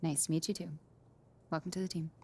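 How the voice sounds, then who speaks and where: A receptionist who greets visitors politely with a dry wit. She speaks quickly in a lowish register. She is heard in a game cutscene. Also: little pitch movement, dark tone. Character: polite young woman receptionist, dryly witty. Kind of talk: video game cutscene dialogue.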